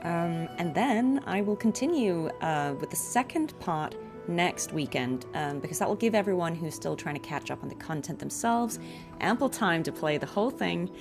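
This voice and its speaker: A woman's voice: on the low side, expressive, moderate pace, music in the background. A woman streamer chatting casually and appreciatively, rambling from thought to thought. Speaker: streamer and voice actress. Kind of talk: casual livestream chat.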